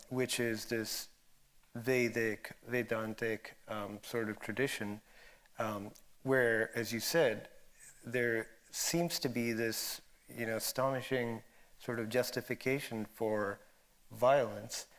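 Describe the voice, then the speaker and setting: A man moderating an academic discussion, asking long unscripted questions in a halting, thoughtful way. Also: mid-range voice, steady tone, fairly bright tone.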